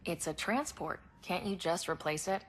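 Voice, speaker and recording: Calm reassuring young woman explorer, voiced by a woman. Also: fairly low pitch, normal speed, a little hiss or hum, lightly acted. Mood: steady. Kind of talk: video game dialogue.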